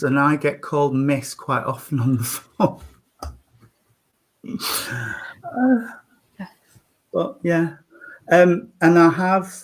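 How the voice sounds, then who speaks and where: A man, a trustee, talking slowly and hesitantly in a casual group chat, with many ums and restarts. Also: a little high, low-quality recording. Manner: slow, hesitant, with many fillers. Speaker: trustee on a panel.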